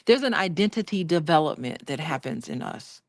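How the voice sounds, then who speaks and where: A woman in casual political chat who jumps in with lively questions. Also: low voice, a little fast, no room sound, clean studio sound. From Illinois.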